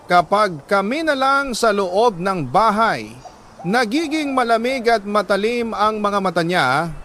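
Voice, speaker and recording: A man reading a scripted first-person letter as a dramatic story, in a solemn, measured, emotive way. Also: high-pitched, fairly bright tone, slight background noise.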